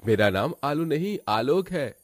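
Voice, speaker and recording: A man voicing an eager, innocent young grandchild in a cartoon story, pleading and excitable. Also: a little high, a little slow, no echo, studio quality, faint music behind, voice pushed up.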